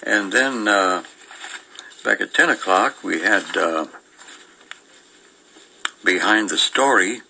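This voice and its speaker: Older guy on a radio show, low bright voice, rambles through old listings, stops and starts. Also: creaky, husky, rough recording. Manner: meandering, chuckling, halting delivery. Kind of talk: casual reminiscing chat, reading old newspaper listings.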